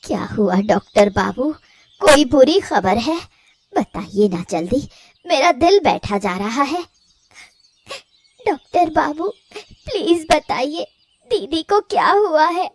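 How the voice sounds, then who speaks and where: A woman voicing an excitable, affectionate village family woman in a Hindi cartoon drama, with an emotive, exclamatory delivery. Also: sits high, takes it slowly, no room sound, clean studio sound, heavily acted. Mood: anxious.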